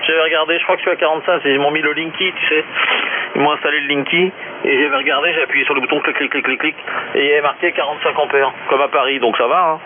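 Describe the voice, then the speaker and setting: Fast, jokey man over noisy shortwave radio. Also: a little high, steady tone, narrow, tinny audio. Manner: jokey, rambling, slangy. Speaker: amateur radio operator. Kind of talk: casual radio chat with friends.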